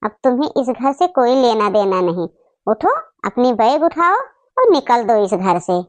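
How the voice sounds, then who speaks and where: A woman acts out an angry cartoon mother at the end of her patience. Her voice is high and dry, scolding with emphatic repetitions. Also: big pitch swings, measured pace, dry recording. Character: angry, fed-up mother throwing out her boastful daughter.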